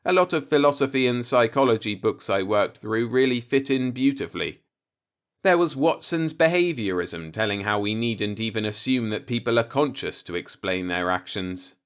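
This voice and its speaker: A man's voice: medium pitch, animated, moderate pace, close to an everyday voice. A man voicing a cynical, musing older working-class man, a self-taught professor, in a folksy, rhetorical audiobook monologue. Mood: cynical, emphatic.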